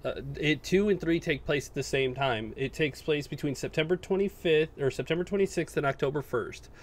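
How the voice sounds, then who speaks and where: A man's voice: a little high, brisk. A man co-hosting a casual gaming chat, explaining details in an insistent, exacting way. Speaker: podcast co-host. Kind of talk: casual video game chat.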